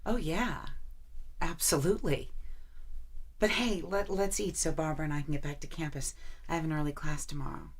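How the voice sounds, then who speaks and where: An actress playing a polite but unimpressed young college student in an audio drama. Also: female voice, low voice, steady tone, normal speed. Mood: impatient.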